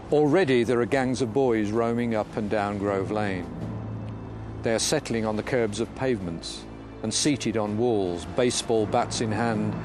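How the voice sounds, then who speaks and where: A man who is a head teacher and author, speaking about his work in a reflective, literate way. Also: fairly low pitch. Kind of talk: reading aloud and reflective interview talk.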